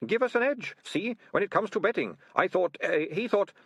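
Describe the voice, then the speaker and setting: A man's voice: expressive, normal speed, a bit bright, slightly rough, no room sound, exaggerated voice. A man voicing a guilty, whining rat-catcher in a storybook, moaning and stammering his excuses in colloquial speech. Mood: nervous, evasive. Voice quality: high-pitched moaning voice. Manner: stammering, colloquial, self-justifying.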